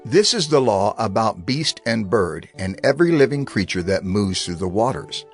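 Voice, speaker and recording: Expressive male scripture narrator. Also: fairly low pitch. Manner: measured, expressive reading. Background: music bed.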